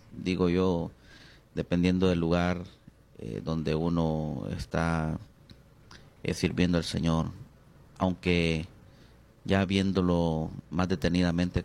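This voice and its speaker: A middle-aged man with a Honduran accent, a pastor speaking as an interview guest, hesitant and reflective with many drawn-out fillers. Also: low-pitched, vocal fry, a little mellow.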